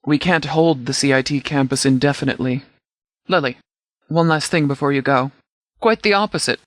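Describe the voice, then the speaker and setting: A man playing a no-nonsense sheriff's department commander in a video game, speaking formally and precisely in measured, scripted briefing style. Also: mid-range voice, dry recording, studio quality, natural voice. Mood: firm, raised.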